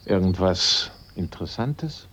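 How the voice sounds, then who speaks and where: A man playing a self-assured amateur sleuth in a German radio drama, speaking politely and deliberately. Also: low-pitched, animated, fairly bright tone. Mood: curious. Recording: dull radio recording, faint noise.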